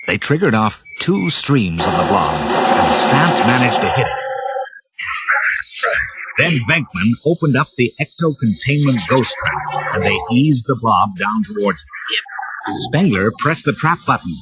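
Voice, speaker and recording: A man narrating a read-along storybook in a lively, expressive way. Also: medium pitch, a little slow, very noisy. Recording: muffled cassette with music bed.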